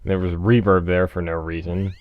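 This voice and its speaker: A man's voice: low-pitched, level tone, normal speed, narrow, tinny audio. A man giving casual gaming commentary, explaining things in a hesitant way. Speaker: let's play commentator. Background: game music.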